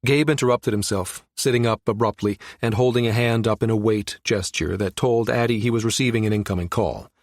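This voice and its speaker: A man's voice: on the low side, fairly even intonation, brisk, hardly any pauses, no echo, studio quality. A man reading a science fiction audiobook as scripted narration.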